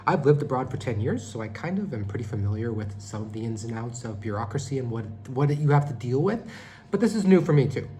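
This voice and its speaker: A man in his thirties from California talks casually through a vlog update. He rambles and restarts his sentences in a relaxed, conversational manner. A faint hum sits under the recording. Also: medium pitch, quick pace. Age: 30s. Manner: rambling, conversational, self-correcting. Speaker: vlogger.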